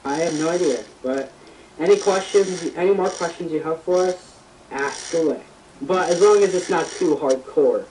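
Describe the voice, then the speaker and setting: A man's voice: fairly high pitch, normal speed, a little mellow, far from the mic, slight background noise, poor audio. A man co-hosting in casual chat, agreeable and easygoing. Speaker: co-host.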